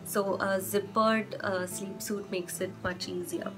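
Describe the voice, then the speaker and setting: Flat-voiced young woman with a cold. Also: mid-range voice, measured pace, over music. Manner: chatty, stops to rephrase, lots of fillers. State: hoarse from a cold. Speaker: parenting vlogger. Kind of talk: casual advice vlog.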